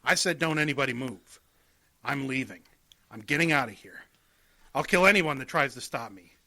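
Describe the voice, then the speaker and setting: An actor playing a defiant, murderous son who blusters indignantly in an old-time radio drama. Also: male voice, mid-range voice, animated, moderate pace, pushed and bright. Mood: menacing. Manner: belligerent, indignant.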